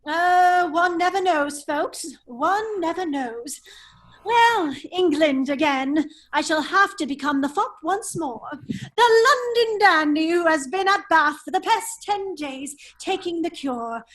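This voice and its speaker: Woman playing a very high-voiced, languid English fop. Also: animated, takes it slowly, a bit bright, full character voice. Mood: weary, guarded. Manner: affected, languid, drawling, exclaiming. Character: foppish, witty English gentleman with a secret life.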